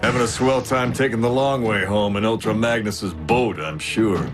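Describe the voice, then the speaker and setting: A man voicing a cocky, battle-hardened alien robot warrior in an animated action series, wry, swaggering and laconic, with a brash wisecracking streak. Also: lowish voice, normal speed, lightly acted. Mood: rueful, wry. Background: music.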